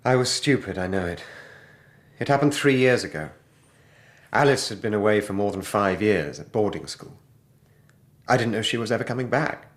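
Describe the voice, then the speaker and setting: An actor playing a defiant, exasperated young murder suspect, emotional and defensive in a radio drama. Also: male voice, on the low side, expressive, moderate pace, long pauses, lightly acted. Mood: bitter, resigned.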